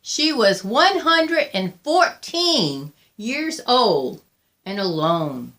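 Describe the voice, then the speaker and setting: A woman reading a picture book aloud as a storyteller, deliberate and expressive, with frequent pauses. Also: a little high. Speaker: volunteer storybook reader.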